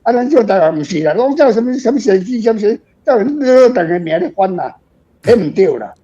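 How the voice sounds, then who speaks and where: A Taiwanese man with a notably high voice and a dark, rounded tone. He explains things informally but emphatically, circling back to drive points home. The recording is dull and lo-fi. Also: animated, fairly quick. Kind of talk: informal opinionated discussion of translation.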